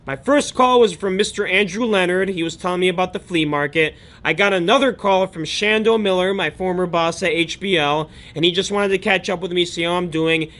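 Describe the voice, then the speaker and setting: A man's voice: sits high, fairly bright tone. A young American man telling stories about his day in a casual, chatty, slangy and emphatic vlog style. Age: young adult. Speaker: daily vlogger, online book seller.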